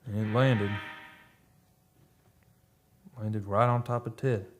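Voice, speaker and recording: A man's voice: on the low side, fairly even intonation, slow pace, noisy background. A man playing a shaken oil-rig worker in a radio drama, telling an eerie tale in folksy, colloquial speech. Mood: haunted, grim. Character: shaken oil-rig worker recounting eerie deaths, defensive under questioning. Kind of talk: radio drama first-person narration.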